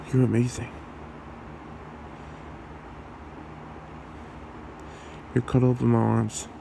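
A man acting a shy, lovestruck young man with a hidden secret in an ASMR roleplay, speaking haltingly and awkwardly in a low, gravelly voice. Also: warm tone, a little hiss or hum, low-quality recording. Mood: tender, relieved.

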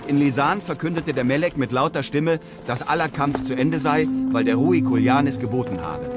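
Ernster, frommer Reisender von einem Mann gespielt. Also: male voice, medium pitch, close to an everyday voice. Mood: narrating. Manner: measured, earnest, literary. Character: earnest, humble European traveller and narrator, pious. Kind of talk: radio drama narration and dialogue.

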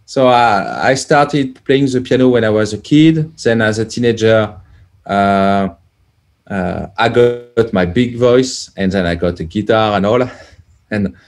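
A man, a plug-in developer guest, answering casually with many pauses as he searches for words over a video call. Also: lowish voice. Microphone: headset on video call.

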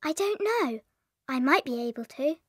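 Bright little english schoolgirl, high voice, very polite, close dry audio drama sound. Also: lively intonation, normal speed, no echo. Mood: earnest, confessing. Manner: polite, precise, earnest. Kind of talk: dramatized children's audiobook acting.

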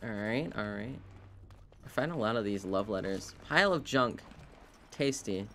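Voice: medium pitch, animated, normal speed, fairly bright tone, slight background noise. A video game streamer giving casual live commentary, rambling and wryly talking to themself and the game. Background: video game sounds.

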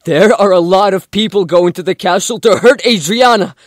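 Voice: medium pitch, moderate pace, no echo, studio quality, lightly acted. An actor playing an earnest, kind and protective young man in an audio drama, speaking with emphasis. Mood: earnest, worried.

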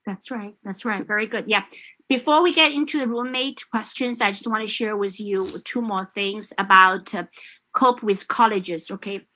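Bright-voiced woman, a native Chinese speaker. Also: mid-range voice, normal speed, low-quality recording. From China. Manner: chatty, many fillers and restarts. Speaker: host. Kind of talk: casual live-stream chat. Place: home room. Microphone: video call.

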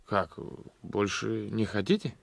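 A man's voice: fairly low pitch, slow pace, gravelly, no room sound, exaggerated voice. Proud, swaggering village guardsman. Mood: offended. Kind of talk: Soviet radio play acting.

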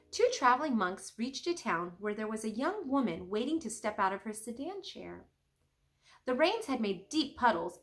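Young woman reading aloud, very lively pitch. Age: young adult. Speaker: teacher reading aloud to students. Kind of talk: children's storybook read-aloud.

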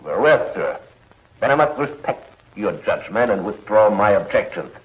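An actor playing an agitated, dutiful space commissioner with emphatic, clipped delivery in 1950s television serial style. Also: male voice, on the low side, big pitch swings, normal speed. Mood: urgent, dutiful. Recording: muffled 1950s film soundtrack.

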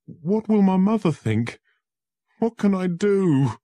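A man's voice: low-pitched, very animated, slow pace, a little mellow, no room sound, heavily acted. A man voicing a frightened young male lawyer who pleads with desperate urgency. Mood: panicked.

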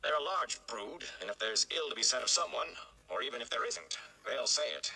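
A man voicing a stout old village mayor, flustered and blustering, with an emphatic, excitable delivery in a storybook reading. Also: medium pitch, moderate pace, gravelly, pitched above the performer's own voice, exaggerated voice, rough recording. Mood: grumbling.

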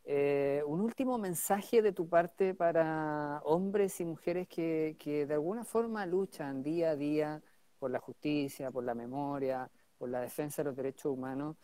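A man's voice: a little high, measured pace, warm tone, dry recording. Male host in his forties, supportive, hesitant "eh" fillers, dry close earbud-mic sound. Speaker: interview host. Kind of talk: hosting a live-stream interview. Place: indoor office room. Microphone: wired earbuds mic on a video call.

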